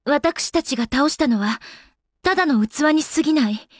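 A woman's voice: high-pitched, lively intonation, normal speed, no echo, clean studio sound. A woman playing an elegant, doting young goddess in an anime game, speaking in polite, ladylike phrases. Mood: serious. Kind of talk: Japanese anime game voice acting.

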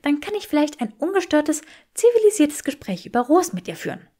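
A woman reader plays a sharp-witted, spirited young woman in a story. Her voice is high and lively. She speaks in a prim, teasing way. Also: fairly quick.

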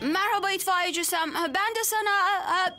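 A woman voicing a friendly, eager small child in an animated children's cartoon. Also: very high-pitched, normal speed, music in the background.

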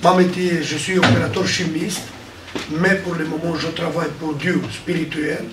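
A man's voice: fairly high pitch, level tone. Hesitant man pastor in a roomy room. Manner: halting, searches for words. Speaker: pastor in the audience. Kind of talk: self-introduction. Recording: roomy, distant, noisy room.

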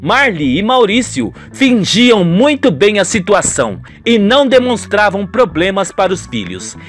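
A man's voice: sits high, very animated, measured pace, a bit bright, soft background music. A man with a Brazilian accent giving dramatic scripted narration of a radio soap.

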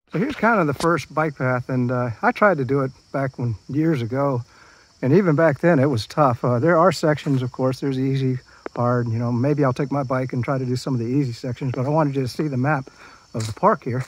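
A man's voice: medium pitch, rough recording. A middle-aged man talking casually while he walks a city park trail and films on a handheld phone, folksy and rambling with many fillers. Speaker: park walk-through vlogger. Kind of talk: casual walking commentary. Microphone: handheld phone camera.

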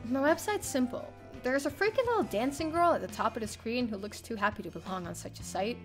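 A young woman streamer reading a visual novel aloud in an expressive, theatrical first-person narration. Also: a little high, brisk. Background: soft game music.

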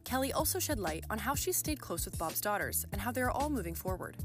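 A woman's voice: fairly high pitch, very animated, brisk, music in the background. A woman reading scripted entertainment news narration.

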